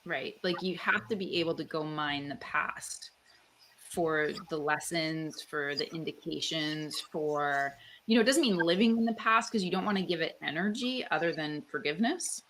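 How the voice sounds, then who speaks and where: A woman's voice: low-pitched, normal speed, fairly bright tone. A woman from Canada, a guest author, talking reflectively in a casual interview, with lots of "like" and "um" fillers. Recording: faint background noise.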